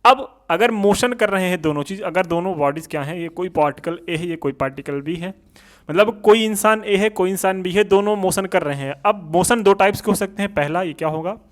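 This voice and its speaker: A man's voice: sits high, brisk. A man lecturing as an exam coaching teacher, repeating points as rhetorical questions and mixing in English technical terms. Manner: switches between Hindi and English technical terms.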